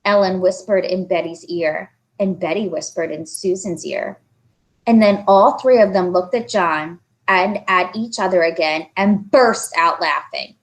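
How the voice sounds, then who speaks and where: A woman narrating a children's book aloud, expressive and carefully phrased, as a storyteller. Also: on the low side, a little slow, poor audio.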